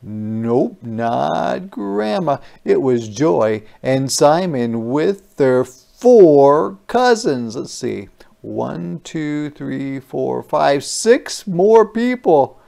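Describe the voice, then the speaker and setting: Animated storyteller with slow, gravelly delivery. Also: mid-range voice. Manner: animated, playful wondering asides to young listeners. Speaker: storyteller reading a picture book aloud. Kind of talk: interactive children's read-aloud.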